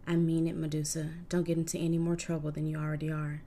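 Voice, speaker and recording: A woman voicing a lovesick male sea god, reading his lines softly and earnestly. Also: low voice, completely flat delivery, quick pace, slight background noise. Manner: soft, earnest storybook reading.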